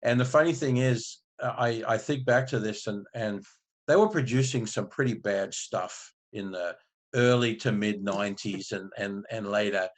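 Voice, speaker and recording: Low-voiced man from Australia. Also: normal speed. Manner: relaxed, rambling, with many restarts. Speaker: marketing agency owner, guest. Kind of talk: casual interview storytelling.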